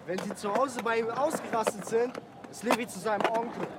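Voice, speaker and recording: An actor playing an excitable, naive young man in a radio drama, talking in street slang. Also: extremely high pitch, fairly quick, heavy background noise. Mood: aggrieved. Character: excitable, naive young male hanger-on from the youth club. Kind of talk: slangy interview-style radio drama monologue.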